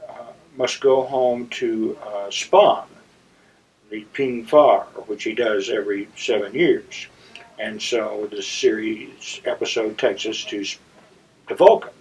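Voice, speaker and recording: A man hosting a story segment, telling a personal anecdote to viewers in a deliberate, theatrical raconteur's manner with dramatic pauses. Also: mid-range voice, slightly rough. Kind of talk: anecdotal monologue addressed to viewers. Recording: slightly distant, dull lo-fi sound.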